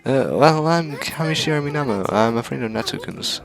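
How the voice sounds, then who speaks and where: A man voicing a friendly, easily startled young schoolgirl in a visual novel, polite and quick to sound surprised. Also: mid-range voice, vocal fry, faint music behind, pitched above the performer's own voice, close to an everyday voice.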